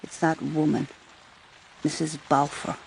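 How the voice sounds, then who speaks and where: A woman's voice: sits low, little pitch movement, unhurried, gravelly, darkish tone, slight background noise. An actress playing a thoughtful, assertive female police constable in a radio crime drama, earnestly searching for her words. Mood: pensive. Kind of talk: naturalistic radio drama acting.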